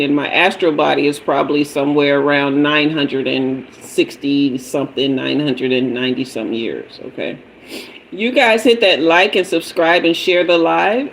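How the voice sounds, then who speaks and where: A woman's voice: fairly low pitch, a little hiss or hum, low-quality recording. A woman in her fifties, a tarot reader hosting a casual livestream, chatting playfully with viewers and drifting away from and back to the mic. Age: 50s. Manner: chatty and playful, greeting viewers and asking for emojis.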